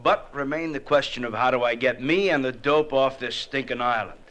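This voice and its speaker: Man playing a bright, crisp, cocky menacing gunman. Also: mid-range voice, moderate pace, close to an everyday voice. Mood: sardonic. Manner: taunting, slangy tough-guy talk. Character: cocky menacing drug-smuggling gunman, sneering tough guy. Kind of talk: old-time radio adventure acting.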